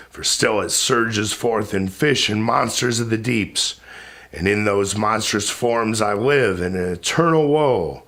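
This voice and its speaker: A man's voice: on the low side, gravelly, a bit bright, exaggerated voice. Man as a despairing, furious immortal water spirit. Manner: impassioned, declamatory. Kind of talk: dramatic epic verse recitation.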